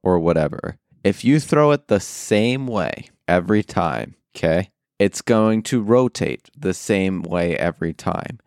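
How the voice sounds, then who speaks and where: A man on a casual podcast, calmly explaining in a matter-of-fact way. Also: low voice, measured pace, dry recording, studio quality. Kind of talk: casual chat.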